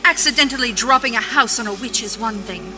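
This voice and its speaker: A woman playing a stern, worried, sharp-tongued witch in a fantasy audio drama. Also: fairly high pitch, fast, over music, close to an everyday voice.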